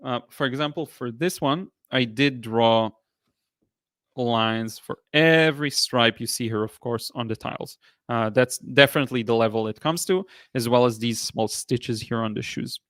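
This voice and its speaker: A man in his thirties with an Israeli accent, hosting a painting livestream and answering viewer comments in a relaxed, halting way with many fillers. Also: medium pitch, no echo, studio quality. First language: Hebrew. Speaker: watercolor artist and livestream host. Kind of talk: casual livestream chat reading comments.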